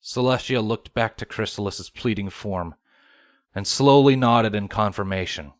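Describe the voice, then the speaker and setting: Guy narrating a story from a script, lowish voice, dry recording. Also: unhurried, no echo.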